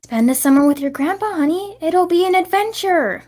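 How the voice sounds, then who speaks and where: High-voiced snarky young girl, played by a woman. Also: animated, moderate pace, darkish tone. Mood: wry. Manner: snarky, wry. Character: sarcastic, self-assured young girl. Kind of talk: amateur cartoon table read.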